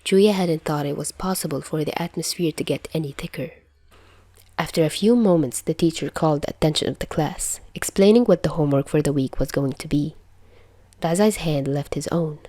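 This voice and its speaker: A woman's voice: low-pitched, a little fast, warm tone, no echo. A woman who reads fan fiction aloud as host of her own channel. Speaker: podfic reader and channel host. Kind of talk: narration of fan fiction and casual updates.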